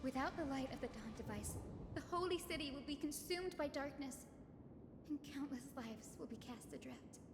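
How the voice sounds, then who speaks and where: A woman playing a gentle, selfless young healer in a game, speaking in an earnest, measured and formal way. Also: high voice, expressive, in a large hall, music in the background. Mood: solemn, resolute. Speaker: game character voice actor. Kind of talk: scripted game dialogue.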